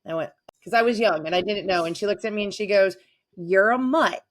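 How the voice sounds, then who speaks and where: A woman's voice: medium pitch, brisk. A talkative, enthusiastic woman chatting casually as a guest on a podcast. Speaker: podcast guest, avid reader.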